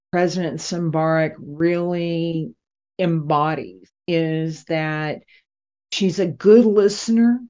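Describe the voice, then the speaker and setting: A woman interviewing a guest in a deliberate way, pausing between phrases. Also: on the low side, darkish tone. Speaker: interviewer, radio drama maker. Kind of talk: unhurried interview talk. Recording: dull, lo-fi sound.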